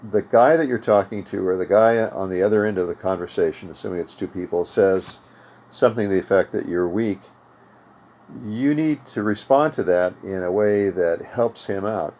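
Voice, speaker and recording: An older man with an American accent giving an informal instructional talk, in halting, measured explanations with pauses. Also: deep voice, a little mellow. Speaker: host of amateur radio tutorial series. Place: home radio room.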